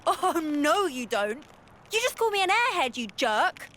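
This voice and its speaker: A woman with a British accent voicing a feisty, blunt young female soldier who is quick to take offence in a video game. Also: on the high side, big pitch swings, fairly bright tone. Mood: indignant. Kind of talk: scripted video game voice acting.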